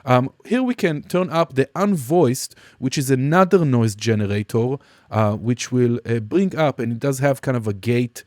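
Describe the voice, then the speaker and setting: A man teaching music production in a casual software demonstration, explaining informally and somewhat hesitantly step by step. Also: medium pitch, moderate pace, dry recording, studio quality. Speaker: music production tutorial instructor.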